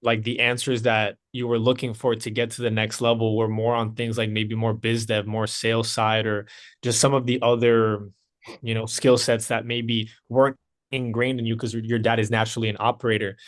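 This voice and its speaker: A man interviewing a guest as a business consultant, summarizing answers and asking long, multi-part questions in a measured way. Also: mid-range voice, little pitch movement.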